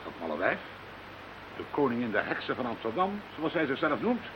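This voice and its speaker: A stubborn, no-nonsense male police officer, played by an actor in a radio drama, dismissive and sardonic. The mid-pitched voice swings expressively over a dull, noisy recording. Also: sing-song, a little fast, close to an everyday voice. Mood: scornful. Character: stubborn, sceptical, down-to-earth policeman. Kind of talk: Dutch audio drama acting.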